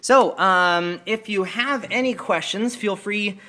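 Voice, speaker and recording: A man presenting equipment in an informal hands-on walkthrough, speaking hesitantly and pausing mid-sentence. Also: sits high, sharp tone. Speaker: presenter demonstrating church sound equipment. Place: carpeted indoor room. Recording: clear background noise, handheld camera mic.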